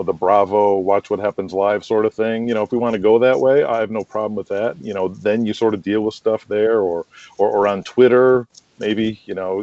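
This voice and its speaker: Guy with a low mellow voice giving opinions in an interview, emphatic, explains with examples, dull lofi audio. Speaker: interview guest, wrestling promoter and booker. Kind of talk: opinionated conversational interview talk. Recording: dull lo-fi, roomy echoing sound.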